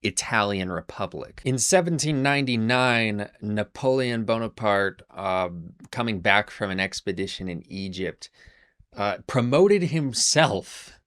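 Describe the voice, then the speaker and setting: Male history narrator, deep voice, relaxed conversational delivery, loose unscripted pacing. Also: measured pace. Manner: conversational, frequent fillers and restarts. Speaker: history channel host. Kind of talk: casual history lecture.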